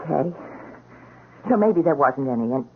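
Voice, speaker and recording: An actress playing a police sergeant's loyal, outspoken wife in a radio drama, emotional, blunt and tender. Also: female voice, extremely low pitch, expressive, moderate pace, a little hiss or hum. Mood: alarmed, protective. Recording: muffled narrow-band radio drama recording.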